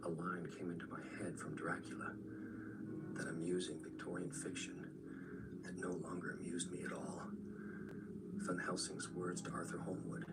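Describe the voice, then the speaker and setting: An actor playing a haunted male writer, narrating a radio horror drama in a grave, breathy, anguished way. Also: deep voice, recorded from a distance. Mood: grim reflection. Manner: hushed, slow, grave storytelling.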